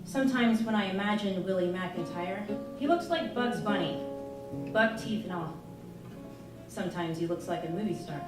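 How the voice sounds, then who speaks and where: A woman giving an expressive live reading of a first-person novel, narrating as a candid, troubled young girl. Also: medium pitch, moderate pace. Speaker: reader at a live literary performance. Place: live performance in an arts venue. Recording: echoey live room, distant mic, music. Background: music playing, guitar.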